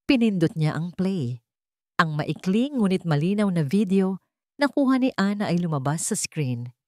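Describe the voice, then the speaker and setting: Low-voiced woman narrating a dramatic story. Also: very animated, moderate pace, dry recording, studio quality.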